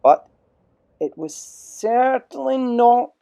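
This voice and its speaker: A man's voice: very high-pitched, lively intonation, slow, vocal fry, dark tone, highs cut off. A man narrating an adventure storybook dramatically as part of an audio play.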